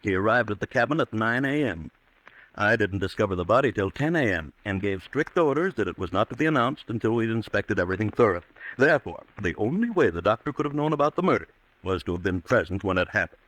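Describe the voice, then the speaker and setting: An actor playing a shrewd, insistent district attorney, probing and accusatory, in 1940s radio drama style. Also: male voice, lowish voice, expressive, fast, a bit bright, dry recording, low-quality recording. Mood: explaining.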